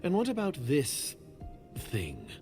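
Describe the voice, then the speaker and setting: A man's voice: a little high, wildly swooping pitch, slow, exaggerated voice. A man voicing a suspicious, scheming agent in a video game, wary and formal in manner. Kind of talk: video game character acting. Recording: game music behind.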